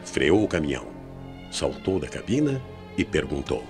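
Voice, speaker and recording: A Brazilian man narrating a scripted radio mystery with suspenseful, deliberate phrasing. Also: a bass voice, very animated. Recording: 1990s AM radio drama with music bed.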